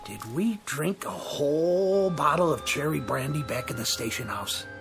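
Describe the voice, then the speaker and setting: An actor playing a courtly, friendly, tipsy gentleman in a radio drama, with music behind him. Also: a little high, expressive, moderate pace, vocal fry. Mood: startled, curious.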